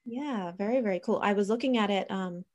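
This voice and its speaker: A woman's voice: mid-range voice, a little fast, darkish tone. A woman hosting an art podcast, talking casually and enthusiastically with lots of fillers. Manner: enthusiastic, filler-heavy, rambling. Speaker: podcast host. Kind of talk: casual podcast intro and interview.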